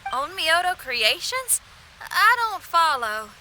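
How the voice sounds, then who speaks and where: A woman playing a confident, spirited young adventurer in a video game, with a casual, frank and expressive delivery. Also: very high voice, takes it slowly, slight background noise, full character voice, low-quality recording. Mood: puzzled.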